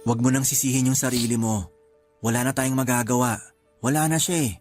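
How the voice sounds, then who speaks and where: An actor playing a caring, apologetic friend who sees spirits, in a Filipino radio drama, gentle and hesitant in manner. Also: male voice, mid-range voice, steady tone, fairly quick, no echo, close to an everyday voice. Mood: reassuring. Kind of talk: Tagalog radio drama acting. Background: background music.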